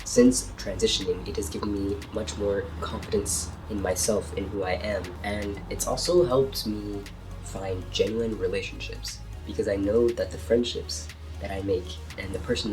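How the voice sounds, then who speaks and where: A young man speaks low and earnestly in his twenties, his voice thick and congested from a cold. He sits a little back from the mic, and soft music plays under him. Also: far from the mic, rough recording. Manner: earnest, heartfelt, reflective. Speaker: vlogger. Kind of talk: personal vlog talk to camera.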